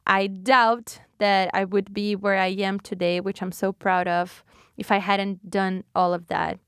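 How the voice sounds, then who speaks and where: A woman's voice: medium pitch, level tone, a little slow, a bit bright, clean tone, no room sound, clean studio sound. A young woman from Brazil with a Brazilian Portuguese accent, hosting a solo podcast in a chatty, reflective way, with fillers and restarts. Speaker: podcast host.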